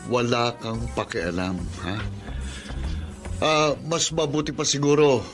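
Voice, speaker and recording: A man's voice: mid-range voice, lively intonation, takes it slowly, over music. An actor playing an insolent, mocking, quarrelsome young Filipino man in a radio drama, sneering and taunting. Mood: scornful.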